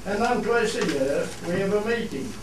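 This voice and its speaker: A man's voice: high voice, lively intonation, normal speed. A man playing a plain-spoken Cumbrian fell shepherd who explains things in a radio play, recorded in an echoey room. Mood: explanatory. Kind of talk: scripted radio play monologue in dialect. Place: theatre studio. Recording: echoey hall, distant mic, clear noise.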